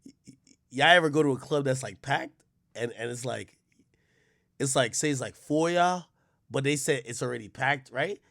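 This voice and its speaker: A man's voice: mid-range voice, normal speed, gravelly, pauses often, a bit bright, no room sound, clean studio sound. A man live-streaming, chatting loosely and profanely straight to his viewers. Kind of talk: casual live-stream chat.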